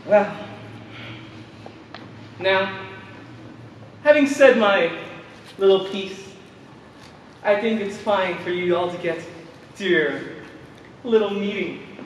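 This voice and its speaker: Stage play: male actor as patronising, quietly menacing authority figure, high-pitched and very slow, distant in a reverberant hall. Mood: condescending, menacing. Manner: patronising, drawn-out, theatrical. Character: condescending male overseer of girls, saccharine, quietly menacing. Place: theater stage, distant microphone. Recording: echoey noisy theater hall from far off.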